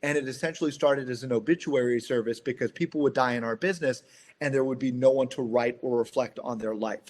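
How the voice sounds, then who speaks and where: Middle-aged male founder for a business call; earnest delivery with halting, repeated phrases. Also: medium pitch, a little mellow. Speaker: company founder. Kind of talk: business conference call discussion.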